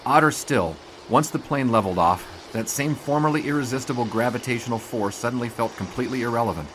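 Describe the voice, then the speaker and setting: An actor playing an earnest, wordy sportswriter, narrating a radio drama in a formal, literary, wry way. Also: male voice, mid-range voice, quick pace, fairly bright tone, a little hiss or hum, natural voice, poor audio. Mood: reflective, wry. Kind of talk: scripted first-person radio drama narration.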